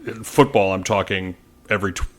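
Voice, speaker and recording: A man's voice: deep voice, steady tone, brisk. An American man, a sports announcer, chatting casually as a guest in a podcast interview.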